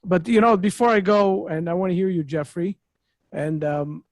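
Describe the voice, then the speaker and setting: A man's voice: high-pitched, normal speed, no echo. A middle-aged man hosting a podcast from a home office with a studio mic, talking eagerly with loose, repetitive phrasing. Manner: excitable, repetitive, non-native phrasing. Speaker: podcast host. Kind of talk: enthusiastic interview chat. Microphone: studio mic on boom arm.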